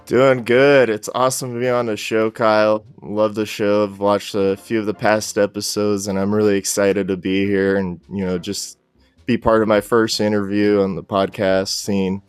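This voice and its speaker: Guy guest chatting on a podcast, lowish clear voice, rambles a bit, some music under it. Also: little pitch movement, normal speed, no echo. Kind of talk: casual interview chat.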